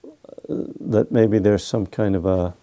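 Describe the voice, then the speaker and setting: A man's voice: lowish voice, takes it slowly, warm tone, highs cut off. A man leading a meditation group's question time, easygoing and wry, with many fillers. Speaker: meditation teacher. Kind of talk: relaxed Q&A guidance. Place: meditation hall.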